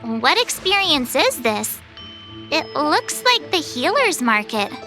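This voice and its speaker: Plucky young game girl, casual and hesitant, unhurried pace, lively squeaky-high delivery. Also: faint music behind, exaggerated voice. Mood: confused. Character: perky, girlish young woman, plucky and easily flustered. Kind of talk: scripted video game voice acting.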